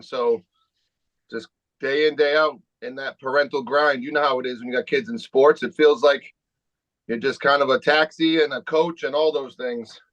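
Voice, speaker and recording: An easygoing man chatting as a podcast guest, unhurried and relaxed. His voice is slightly high and comes through dull and dry, like a lo-fi remote feed. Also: no room sound. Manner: laid-back, easygoing. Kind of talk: casual conversation.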